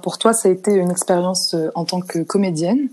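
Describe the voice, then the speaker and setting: A woman's voice: lowish voice, a little fast, darkish tone. A woman hosting a conversational podcast interview as the interviewer.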